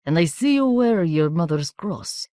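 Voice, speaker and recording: A woman's voice: on the low side, animated, warm tone, no echo, clean studio sound. A woman doing a sly thin boy. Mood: knowing. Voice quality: sibilant, harsh accent. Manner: halting, oddly formal phrasing. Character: thin foreign teenage boy, sly and knowing. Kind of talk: audiobook character voice.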